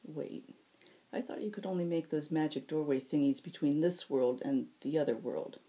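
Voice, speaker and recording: A woman voicing a wounded, groggy young male hero in a story, with a raspy, broken near-whisper and halting, slangy speech. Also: low-pitched, mellow, close to an everyday voice. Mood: puzzled. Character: wounded young hero man, weak, groggy, self-doubting.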